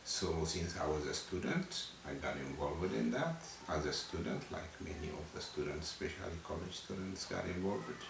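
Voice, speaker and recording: A man, answering interview questions in a halting way with frequent restarts. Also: low voice, animated, vocal fry. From Ethiopia. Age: 60s. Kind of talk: oral history interview. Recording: roomy, distant mic, background noise.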